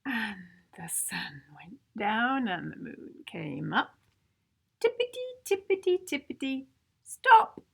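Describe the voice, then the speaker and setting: A woman with a fairly high voice reads a children's storybook aloud. She takes her time, pacing it very slowly. Her delivery is theatrical and playful, with repeated sound words and key adjectives stressed for young listeners. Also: big pitch swings, vocal fry, breathy edge. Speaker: children's book reader.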